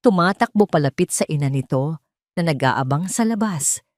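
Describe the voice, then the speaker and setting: Low-pitched woman narrating dramatic fiction. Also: lively intonation, moderate pace, darkish tone, no room sound, studio quality. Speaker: story narrator. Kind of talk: dramatic scripted narration, Tagalog romance audio drama.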